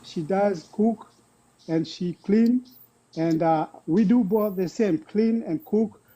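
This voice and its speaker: A middle-aged man with a Kenyan accent, talking casually and haltingly about himself as a guest caller. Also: high-pitched, mellow, poor audio. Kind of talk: casual personal talk.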